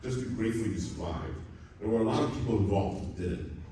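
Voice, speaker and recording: A man playing a calm, enigmatic doctor in a live radio play, measured and reassuring. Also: fairly low pitch, natural voice. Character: Doctor Pacificus, a calm, faintly sinister male doctor. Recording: echoey hall, distant mic, faint noise.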